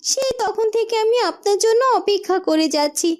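A woman's voice: very high voice, a little fast, darkish tone. A woman playing a caring, dutiful young princess and elder sister in a Bengali fairytale cartoon. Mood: mild reproach.

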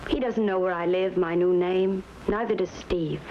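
A woman's voice: on the low side, fairly even intonation, moderate pace, close to an everyday voice. An actress playing an anxious, evasive American wife in her thirties, pleading and guarded, in a 1950s TV crime drama. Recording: muffled, noisy old TV film sound.

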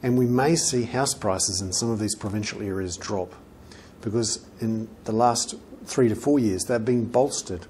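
A middle-aged man with a New Zealand accent presenting an economic commentary to camera in measured, emphatic phrases with deliberate pauses. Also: lowish voice, a little mellow, slight background noise. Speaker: financial journalist presenting an economic report. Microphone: lapel mic.

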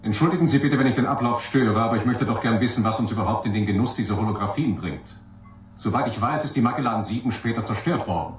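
An actor in a German radio drama playing a doctor who is polite but pressing with officials. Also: male voice, medium pitch, fast talker, soft background music, natural voice. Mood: politely challenging. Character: politely challenging male doctor questioning a panel. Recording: very echoey chamber.

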